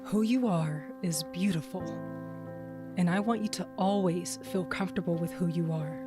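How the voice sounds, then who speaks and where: A woman's voice: lowish voice, moderate pace, close to an everyday voice. A woman playing a loving, protective mother in an audio drama. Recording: loud music behind the voice.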